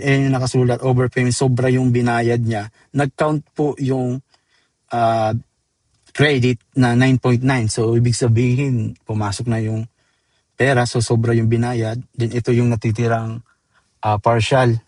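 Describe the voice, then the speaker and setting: A Filipino man presenting a software tutorial step by step in an informal, chatty way, mixing in English terms. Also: medium pitch, no room sound. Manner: informal, addresses viewers as guys, code-switches into English terms. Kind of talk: casual screen-demo tutorial, step-by-step.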